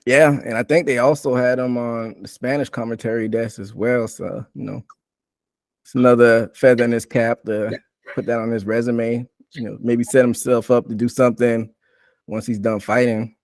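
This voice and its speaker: Male podcast host, conversational sports recap delivery, mid-pitched, very dry recording. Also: little pitch movement, normal speed, no room sound. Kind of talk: casual sports recap talk.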